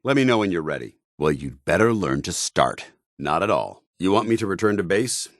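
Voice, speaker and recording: An actor playing a stern, loyal, zealous soldier in a video game, speaking gruffly with earnest, clipped military formality. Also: male voice, low voice, sing-song, a little fast, no room sound, studio quality. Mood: steady, firm. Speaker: companion soldier character.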